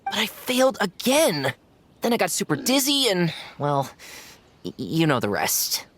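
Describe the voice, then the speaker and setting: A woman's voice: fairly low pitch, wildly swooping pitch, unhurried, full character voice. A woman voicing a devoted, hot-tempered young male imaginary-friend creature in a video game, earnest and emotive. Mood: sheepish recounting. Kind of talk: animated video game dialogue.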